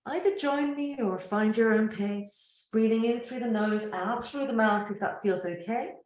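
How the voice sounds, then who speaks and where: A woman teaching yoga nidra, guiding a relaxation gently and without hurry, with long pauses between phrases. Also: on the high side, echoey room, poor audio. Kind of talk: guided relaxation meditation.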